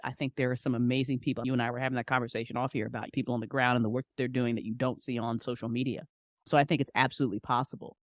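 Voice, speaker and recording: Emphatic, expressive woman in casual conversation. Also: sits low, no room sound, clean studio sound. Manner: emphatic, expressive, informal, repeats phrases for emphasis. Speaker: podcast guest, independent entrepreneur. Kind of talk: casual, passionate interview conversation.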